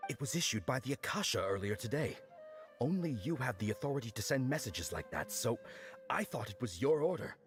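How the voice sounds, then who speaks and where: A man's voice: medium pitch, very animated, a little fast, soft background music, natural voice. An actor playing a timid, deferential male guard in a video game, formal, apologetic and prone to stammering. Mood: respectful.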